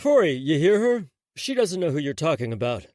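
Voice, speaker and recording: A man's voice: a little high, sing-song, fairly quick, a little mellow, no echo, studio quality. A man voicing a needling, casual young husband. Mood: teasing.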